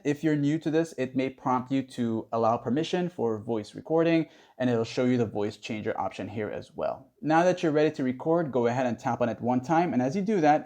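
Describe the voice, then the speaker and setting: A man's voice: medium pitch, dark tone. A man narrating a casual how-to tutorial in a conversational, instructional way. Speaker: tutorial host.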